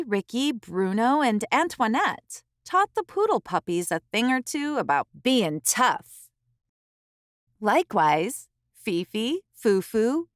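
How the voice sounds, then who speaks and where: Woman storyteller, children's read-aloud, unhurried pace, expressive pauses, careful phrasing, dry close sound. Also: a little high, no room sound.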